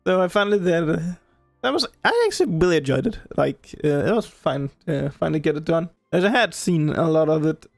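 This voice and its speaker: A man chats casually over a video game in a rather high voice with a lot of creak. He rambles and halts, recorded close and dry with faint game music. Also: sing-song, no room sound. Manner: rambling, halting, repeats himself. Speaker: video game player, commentator. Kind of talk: casual gameplay commentary.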